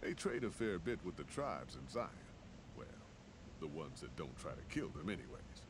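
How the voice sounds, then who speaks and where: Gruff caravan boss in a game, real deep voice, lively delivery, noise under it. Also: male voice, normal speed. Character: gruff middle-aged male caravan master. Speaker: video game character.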